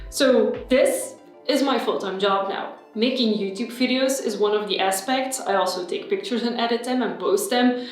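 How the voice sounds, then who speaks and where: Echoey woman vlogging. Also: on the high side. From Belgium. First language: Dutch. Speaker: YouTuber. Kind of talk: personal vlog talk to camera. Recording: very echoey room with faint music.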